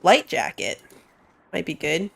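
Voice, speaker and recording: A woman's voice: on the low side, expressive, measured pace, vocal fry, slightly rough. A woman giving casual live commentary as she plays a game, chatting and thinking aloud. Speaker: game streamer.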